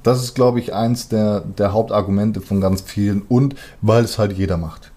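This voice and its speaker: A man's voice: lowish voice, fairly even intonation, moderate pace, warm tone. Guy just chatting about his stuff, musician type, kinda hesitant, lots of searching for words, slangy. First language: German. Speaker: musician, rapper. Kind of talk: casual conversation.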